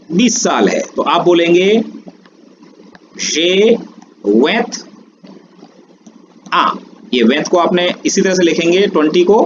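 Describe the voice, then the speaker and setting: Slow-spoken man teaching French, lo-fi. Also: on the high side. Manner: slow, drawn-out explanations mixing Hindi and English. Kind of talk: online language lesson. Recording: dull lo-fi sound with steady background noise.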